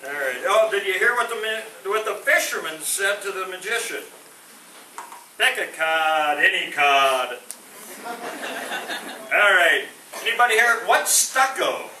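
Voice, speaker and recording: A man's voice: high-pitched, expressive, slow, pauses often, sharp tone. A man doing stand-up one-liners and corny puns without a microphone, his punchlines trailing off sheepishly. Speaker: stand-up comedian. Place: veterans home hall. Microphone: no microphone, far from the recorder. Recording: roomy hall from a distance, noisy.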